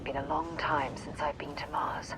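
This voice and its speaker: An actress playing a shrewd, world-weary space explorer companion in a video game. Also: female voice, low voice, little pitch movement, normal speed, loud music behind the voice, natural voice, low-quality recording. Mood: wistful, gloomy.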